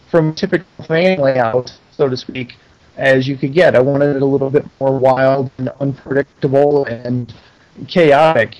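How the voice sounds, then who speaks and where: A man with a slightly high voice talks as an interview guest over a muffled, lo-fi internet call. He explains things casually and in detail, pausing to think as he goes. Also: a little mellow. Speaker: interview guest, pinball game maker.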